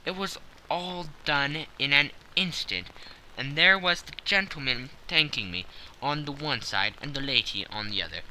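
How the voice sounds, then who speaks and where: A man's voice: fairly high pitch, normal speed, pushed and bright, a little hiss or hum, poor audio. A man narrating a classic story as a scripted, dramatic audiobook.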